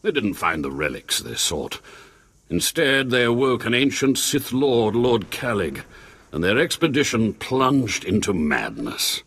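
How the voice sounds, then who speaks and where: An actor playing a grave, anxious Sith lord scholar with a formal, theatrical manner and husky voice in a video game. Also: male voice, mid-range voice, very animated, measured pace, a bit bright, exaggerated voice. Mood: grave, ominous. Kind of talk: video game cutscene acting.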